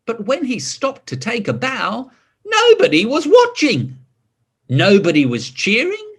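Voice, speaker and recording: Expressive man reading slowly, very lively pitch. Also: breathy edge. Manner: expressive, drawn-out words, chatty questions to listeners. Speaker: story reader. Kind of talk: animated picture-book reading with asides to children.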